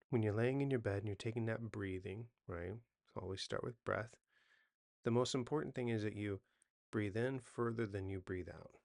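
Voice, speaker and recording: Man, 40s, low gravelly voice, dry close sound, relaxed unscripted coach explaining tips and checking in with "right?". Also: dry recording. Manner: conversational, self-correcting, often checks in with "right?". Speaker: hypnotist and coach giving sleep advice. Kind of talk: unscripted how-to talk to camera.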